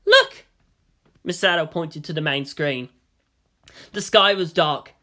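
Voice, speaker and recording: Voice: medium pitch, unhurried, bright, forceful tone. A narrator reading a story aloud in a dramatic, scripted audiobook style.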